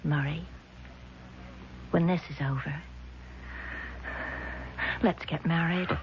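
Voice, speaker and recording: An actress playing a distraught, trusting young woman in 1970s radio drama, with a breathy, halting, melodramatic delivery. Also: low-pitched, fairly even intonation. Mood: tearful, grateful. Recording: dull, noisy vintage radio recording.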